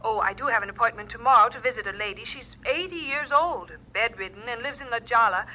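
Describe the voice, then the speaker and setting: A very high, intensely bright voice comes down a telephone: a devout, determined woman fundraiser. She is emphatic and fretful but resolute, in radio drama style. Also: fast, rough recording. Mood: worried, insistent.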